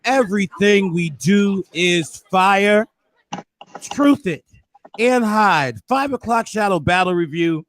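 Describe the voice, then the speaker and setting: A hoarse man hosting a casual recap, hyping things up with preacher-like flourishes. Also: high voice, lively intonation, slow pace, fairly bright tone, slight background noise. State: hoarse, losing his voice. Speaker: host.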